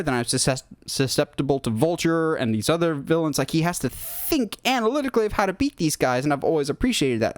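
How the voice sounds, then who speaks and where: A man with a slightly high voice talks like a podcast host, recorded close and dry. His commentary is casual and opinionated, wandering through tangents as an enthusiast of movies would. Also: no room sound. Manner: opinionated, rambling fan talk with tangents.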